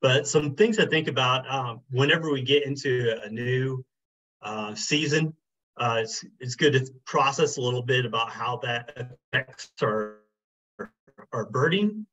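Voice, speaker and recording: A middle-aged man talks through a birding workshop from a small room over a webcam. His voice is mid-pitched and slightly husky, and he talks casually with plenty of fillers. The call audio is a little dull and muffled. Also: a little slow. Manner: relaxed, many fillers like um and you know. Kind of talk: casual online workshop talk. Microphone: video call webcam.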